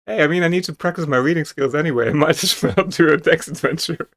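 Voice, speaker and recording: High-voiced man reading a game aloud theatrically. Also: fast talker, fairly bright tone. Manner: theatrical, stumbles over written text. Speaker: video game player and streamer. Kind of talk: dramatic read-aloud with casual commentary.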